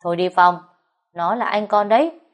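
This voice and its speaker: A stern older father-in-law, put on by a woman reading aloud. He is gruff and commanding, speaking with a low pitch. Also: unhurried, dull audio, close to an everyday voice. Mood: shouting.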